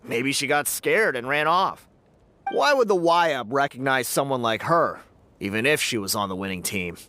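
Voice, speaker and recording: A man's voice: medium pitch, expressive, moderate pace, pushed and bright, a little hiss or hum. A man acting an accusing, insinuating agitator in a video game, full of theatrical rhetoric. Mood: sneering. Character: sneering agitator in a crowd, young man, accusing and cowardly.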